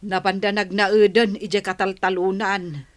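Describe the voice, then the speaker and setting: A woman's voice: medium pitch, level tone, unhurried, lightly acted. A woman acting a blunt middle-aged housewife and in-law in a radio drama, complaining and scolding. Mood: guarded.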